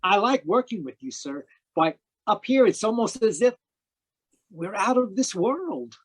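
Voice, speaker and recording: Man playing a meek, high-voiced young assistant. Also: big pitch swings, measured pace, slightly rough, exaggerated voice. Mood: meek, eager. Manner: hesitant, meek, obedient. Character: timid, deferential young male lab assistant. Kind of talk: old-time radio drama acting.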